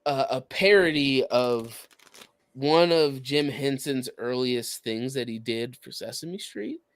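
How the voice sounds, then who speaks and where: A man co-hosting a podcast, explaining things casually in a halting, searching way. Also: medium pitch, lively intonation. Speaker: podcast co-host.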